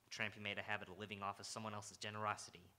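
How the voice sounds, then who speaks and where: A man's voice: lowish voice, flat delivery, rapid-fire, pushed and bright. A man playing a blunt, world-weary Broadway detective in an old-time radio crime drama, with terse, dramatic delivery on a live stage. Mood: forceful. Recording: live stage recording with faint noise.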